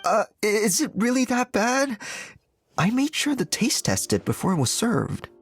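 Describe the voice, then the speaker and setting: A man's voice: sits high, wildly swooping pitch, moderate pace, full character voice. A man playing an earnest, slightly anxious young cook in an anime game, speaking tentatively.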